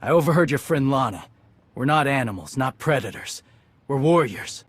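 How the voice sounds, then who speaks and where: An actor playing a proud, honorable male warrior in a video game, gruff and husky. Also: mid-range voice, animated, moderate pace, no room sound. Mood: resolute.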